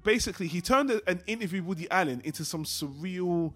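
A man's voice: sits high, lively intonation, quick pace, over music. A man hosting a casual film discussion podcast, talking in a rambling, digressive and opinionated way. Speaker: podcast host.

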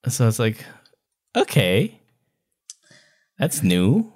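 Male podcast co-host, fairly low voice, casual, slangy and joking. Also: wildly swooping pitch, drawn-out words, darkish tone. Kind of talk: casual book discussion chat.

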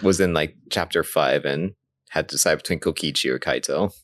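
A man's voice: extremely low pitch, fairly quick, fairly bright tone, no room sound. A man chatting casually about video games as a podcast co-host.

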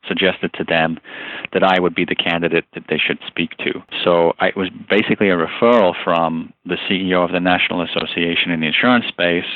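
A man with a faded Liverpool accent mixed with Northern English and North American tones, answering interview questions in a measured, explanatory way. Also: low-pitched, fairly even intonation, no echo. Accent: faded Northern English, Liverpool base, with a Canadian mix. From Liverpool, England. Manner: measured, explanatory, with small restarts. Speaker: association chief executive, interview guest. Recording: thin, phone-like line.

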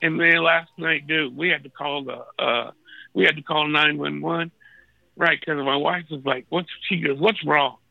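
A man with a raspy voice, thirsty and unfed in a hospital room, telling a story in a laid-back, slangy way. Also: on the high side, steady tone, moderate pace, dry recording, lo-fi sound. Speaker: guest calling in from a hospital bed. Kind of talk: casual storytelling chat.

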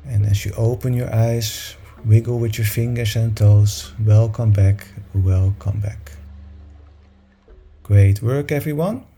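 A man's voice: fairly low pitch, slow pace, gravelly, dark tone, slight background noise. A man leading a meditation session, talking informally to a group in a soothing, encouraging manner.

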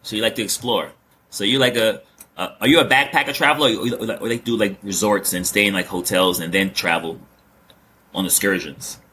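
A man's voice: fairly low pitch, brisk, bright, forceful tone, a little hiss or hum. A man from Guyana hosting a casual chat, teasing and rambling.

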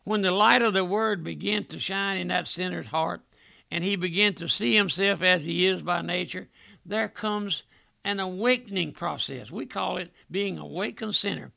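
A middle-aged male preacher delivering an impassioned revival sermon, often dropping to a hush on a repeated address to his listener. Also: high voice, moderate pace, gravelly, no room sound.

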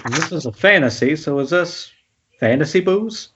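A man playing a reckless, sarcastic film director with a husky voice in a screenplay table read over a dull internet call. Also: a little high, animated, normal speed, fairly bright tone, slight background noise. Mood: groggy, bitter.

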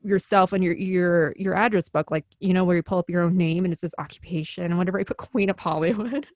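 Woman, Chicago accent, giggly, chatty, lowish voice, dry close sound. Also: quick pace, a little mellow, dry recording. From US Midwest. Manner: chatty, giggly, self-deprecating. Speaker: actor and filmmaker, guest. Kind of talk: casual podcast interview.

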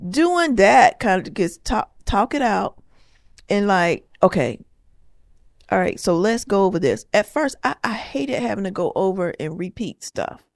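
A woman co-hosting a casual chat with her husband, talking in a reflective, soft-spoken way. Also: medium pitch, very animated, measured pace, no echo, clean studio sound. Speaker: podcast co-host. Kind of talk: casual married-couple chat.

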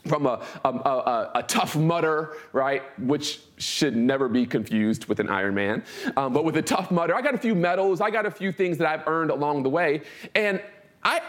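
A man's voice: on the high side, animated, moderate pace, a bit bright. An American man of forty giving a conversational talk, informal and emphatic, repeating phrases and checking in with his listeners. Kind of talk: conversational motivational talk with personal anecdotes.

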